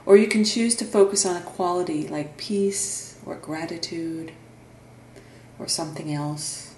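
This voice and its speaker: A woman leads a guided meditation at a very slow pace, with long pauses between gentle instructions. Her voice is soft-edged and mellow. It is recorded in a roomy, echoing space. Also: fairly low pitch. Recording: roomy echo, faint background noise.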